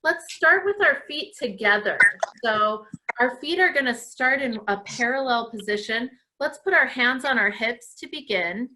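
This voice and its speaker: A woman hosts a live movement class for an audience at home. Her voice sits fairly high and sounds very bright and forward. She instructs in an encouraging, praising manner. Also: expressive, moderate pace, slight background noise, poor audio. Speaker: dance class host leading children's stretches.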